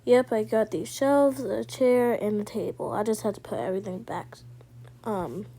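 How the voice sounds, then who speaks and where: Helpful, accommodating guy played by a woman, soft-edged mellow tone, slightly hesitant, casual role-play. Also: mid-range voice, normal speed, natural voice. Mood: helpful, unsure. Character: sweet, helpful man working on a nursery.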